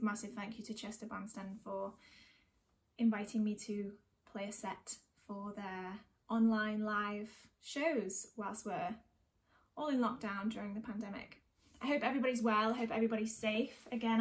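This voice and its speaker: Chatty woman introducing songs. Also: on the high side. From North West England. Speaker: singer-songwriter performer. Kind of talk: casual introduction between songs in an online set. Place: at home.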